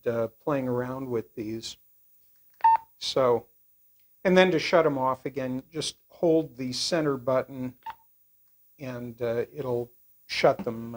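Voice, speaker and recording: An older man giving a casual product review in a rambling, halting way. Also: medium pitch, slight background noise.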